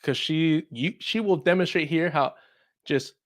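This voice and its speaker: A man's voice: fairly high pitch, measured pace. A man hosting a casual political commentary show in an irreverent, sweary, chuckling manner. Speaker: host.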